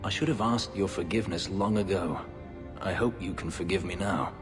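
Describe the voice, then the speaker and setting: Bitter ex-slave elf fighter, guy doing it, super deep slow grave voice, game music and big reverb. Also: natural voice. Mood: tender. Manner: low, grave, measured delivery. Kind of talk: video game voice acting.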